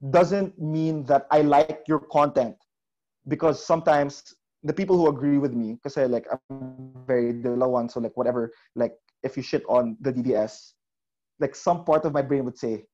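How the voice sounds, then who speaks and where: A man's voice: mid-range voice, dark tone. A man with a Filipino accent talking casually and reflectively, earnest and rambling, often saying "like". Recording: dull lo-fi audio.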